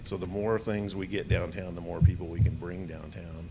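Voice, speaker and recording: Low gravelly guy at a city meeting podium, polite, lots of ums, noisy room. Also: level tone, normal speed. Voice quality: gravelly, low voice with hesitant fillers. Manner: earnest and polite, with many ums. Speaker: local business owner speaking at public comment. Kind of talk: public comment at a city meeting. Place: city commission chamber. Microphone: podium microphone.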